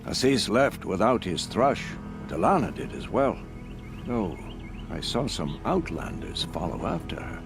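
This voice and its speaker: Deep, solemn lodge keeper. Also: male voice, very animated, unhurried. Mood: concerned. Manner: solemn, formal storytelling delivery. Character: grave male hunters' lodge keeper, measured and courteous. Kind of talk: dramatic video game dialogue. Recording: music behind the voice.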